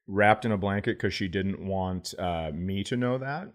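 A man's voice: deep voice, normal speed. A man co-hosting a comedy podcast, riffing casually in a blunt, sardonic and profane way.

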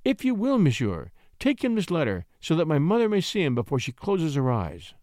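A man's voice: a little high, huge pitch swings, a little fast, darkish tone, no room sound, studio quality, pitched above the performer's own voice. A man reading a storybook, voicing a sly, coaxing countess who pleads breathily. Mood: pleading, urgent. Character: scheming countess, sly, coaxing, feigning innocent distress.

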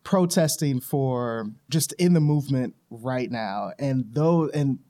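A man's voice: medium pitch, slow pace, dark tone. A man interviewing a guest, asking long, rambling questions with many fillers.

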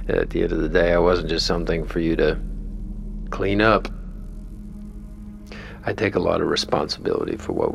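An actor playing a troubled tech founder who leaves a halting, candid, profane private message in an intimate audio drama. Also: male voice, sits low, creaky, exaggerated voice. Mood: weary, sincere.

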